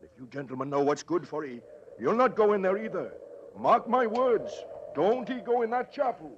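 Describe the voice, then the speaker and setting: An actor playing a frightened, superstitious stable hand with a rustic West Country English accent, giving ominous warnings in an old radio drama. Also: male voice, sits high, big pitch swings, moderate pace. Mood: fearful, warning. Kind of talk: 1940s radio acting. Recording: dull, noisy old radio recording.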